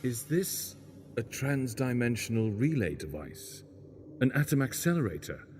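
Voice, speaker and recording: A man playing a scruffy, sharp-witted castaway from a crashed spaceship in a fan sci-fi audio drama, guarded and probing in manner. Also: mid-range voice, sing-song, a little slow, slight background noise. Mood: baffled, curious. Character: scruffy stranded male alien spaceship crewman, wary, sharp-witted.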